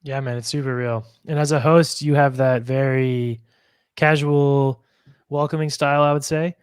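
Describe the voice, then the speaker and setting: A man's voice: mid-range voice, steady tone, normal speed, clear, resonant tone, no room sound, clean studio sound. A man hosting a podcast interview, casual and affirming, often saying "man" and "you know". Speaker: podcast host.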